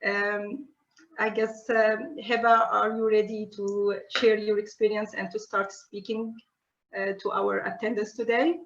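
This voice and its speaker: A woman hosting a webinar and introducing a speaker in a polite, formal, measured manner. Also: mid-range voice, little pitch movement, a little hiss or hum. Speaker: webinar host. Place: online webinar connection. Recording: roomy, dull webinar audio.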